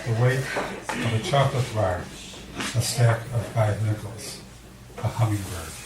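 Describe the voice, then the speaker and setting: A man's voice: on the low side, distant mic, a crowd in the background. Man reading poetry in an echoey room. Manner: measured, deliberate delivery with dramatic pauses. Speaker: poet reading at a poetry event. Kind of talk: live poetry reading with casual introductions. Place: indoor cafe venue before a live audience. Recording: echoey room recorded from afar, audience murmur.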